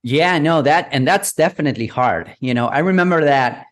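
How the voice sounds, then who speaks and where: Argentine guy, 30s, relaxed storyteller, chatty with lots of fillers. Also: fairly high pitch, moderate pace. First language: Spanish. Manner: relaxed, rambling with many fillers like "you know" and "whatnot". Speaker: guest, digital marketing consultant. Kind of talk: interview guest, storytelling.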